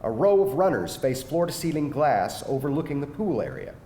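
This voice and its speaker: A man's voice: sing-song, brisk, warm tone. A man narrating a screenplay's stage directions as scripted narration at a live table read. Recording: echoey live room, faint rumbling noise.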